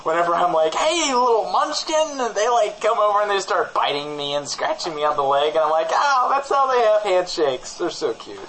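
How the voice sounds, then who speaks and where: A man's voice: sits high, very animated, brisk, hardly any pauses, a bit bright, slight background noise, rough recording. Rambling mock-earnest man, comedy co-host. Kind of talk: improvised comic banter.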